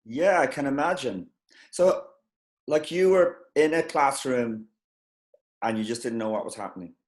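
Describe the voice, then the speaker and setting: A man of middling pitch hosts a casual comedy interview, asking questions with plenty of fillers and false starts. His voice carries a roomy echo. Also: animated, normal speed. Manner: stumbling, many fillers and restarts. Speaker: comedy show host.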